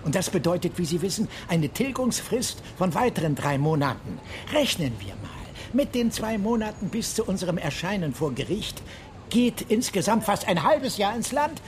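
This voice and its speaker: A man's voice: high voice, slight background noise. An actor playing an eccentric, haughty, defiant man in a radio drama, speaking theatrically and pompously. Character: Langley, eccentric, haughty, defiant brother, cranky schemer.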